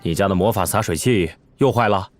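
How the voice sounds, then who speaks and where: A male actor playing an earnest, protective village father and handyman in an animated drama. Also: sits low, a little fast, soft background music, close to an everyday voice. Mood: concerned.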